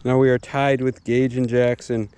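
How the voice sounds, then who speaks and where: A young man chatting casually and excitedly in bro-heavy slang while fishing outdoors. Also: mid-range voice, normal speed, clear, resonant tone. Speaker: vlogger angler. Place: outdoors beside a pond. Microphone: body-worn action camera.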